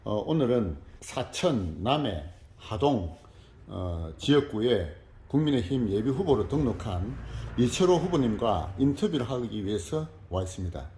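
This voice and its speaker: A man interviewing formally, reading out prepared questions in a measured way. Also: mid-range voice, sing-song, dark tone. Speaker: interviewer, civic group leader. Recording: echoey room, faint background noise.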